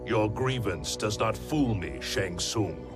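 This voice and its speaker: A grave, stern thunder god. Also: male voice, on the low side, animated, takes it slowly. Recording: game soundtrack with music.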